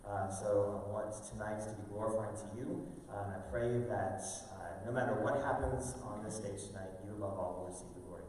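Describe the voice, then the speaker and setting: A man hosting a live show, giving an informal, halting welcome speech to an audience with drawn-out pauses. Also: on the low side, fairly even intonation, a little mellow. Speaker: host, school fine arts director introducing a show. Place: large indoor room with stage and audience tables. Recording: very echoey hall, distant mic, faint noise.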